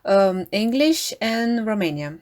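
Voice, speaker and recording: A woman's voice: mid-range voice, very animated, slow pace, a little mellow. A woman in her thirties from Brazil, a native Portuguese speaker, carefully answering interview questions. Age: 30s.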